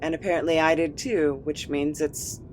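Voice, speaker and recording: A man's voice: a little high, fairly even intonation, normal speed, slight background noise, close to an everyday voice, rough recording. Man playing a curt, sardonic captive. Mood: wary, sardonic. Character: defiant male captive, sardonic, wary, blunt. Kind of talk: audio drama acting.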